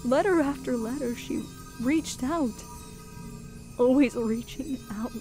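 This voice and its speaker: A woman playing a guilt-ridden pony queen in a radio drama, speaking in a halting, emotional way. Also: sits high, warm tone, soft background music. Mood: regretful, sorrowful. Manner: halting, emotional, anguished delivery. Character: grieving, guilt-ridden pony queen, gentle elder royal sister. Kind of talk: dramatic radio play acting.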